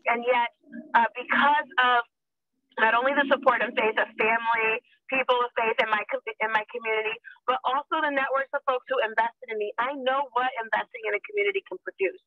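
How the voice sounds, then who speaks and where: A woman in her forties makes an impassioned campaign pitch over a video call. Her voice comes through a thin, phone-like line with no room sound. She speaks earnestly, pressing each point home. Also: steady tone, brisk, dry recording. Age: 40s. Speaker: political candidate. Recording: tinny phone-like call audio.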